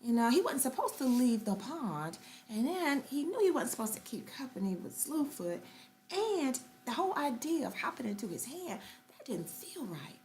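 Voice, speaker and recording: A woman tells an old folktale aloud with a high, bright voice. She is expressive and dramatic, dropping into hushed whispers for suspense. Her telling has the easy pace of spoken storytelling. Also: normal speed, slight background noise.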